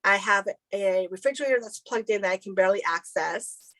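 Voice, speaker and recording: A woman from El Salvador co-hosting a casual crochet podcast, telling stories in a chatty, animated way and laughing often. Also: medium pitch, brisk, no echo. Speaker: podcast co-host. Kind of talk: casual chat. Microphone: video call recording.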